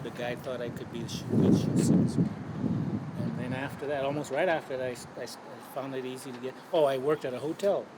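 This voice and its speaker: A man in his seventies with a Bahamian accent, frankly reflecting on his life in an interview, often pausing and restarting. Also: mid-range voice. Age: 70s. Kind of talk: candid street interview. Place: outdoors on a city street. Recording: loud street traffic noise. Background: city traffic.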